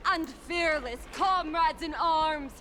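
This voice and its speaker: Casting: grandiose young female herald, piercingly high and bright, declamatory game delivery. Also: slow pace, a little hiss or hum. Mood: proclaiming. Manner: pompous, declamatory. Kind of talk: video game acting, theatrical proclamation.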